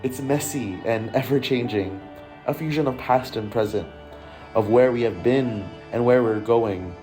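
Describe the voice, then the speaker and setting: A man's voice: mid-range voice, a little slow, over music. A young man from Malaysia narrating a documentary in an earnest, introspective voice. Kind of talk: reflective scripted narration.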